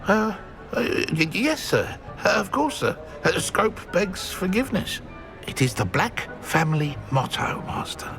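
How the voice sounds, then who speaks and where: An actor playing an anxious, servile male house elf in a video game, gravelly and hesitant. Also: high voice, big pitch swings, unhurried, music in the background, heavily acted. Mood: apologetic, cautious. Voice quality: creaky, gravelly. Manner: hesitant, speaks of himself in third person. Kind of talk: video game character acting.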